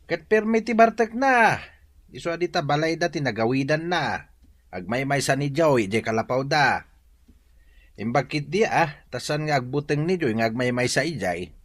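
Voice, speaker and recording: Gossipy village man for Ilocano comedy drama male actor: highly animated pitch, drawn-out interjections, Filipino accent. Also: dry recording. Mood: complaining, gossipy.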